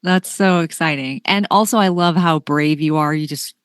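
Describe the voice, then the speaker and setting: A woman's voice: sits low, normal speed, clear, resonant tone, no room sound, studio quality. A woman chatting casually on a podcast in an encouraging, affirming manner, restating phrases for emphasis. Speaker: podcast co-conversationalist. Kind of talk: casual chat.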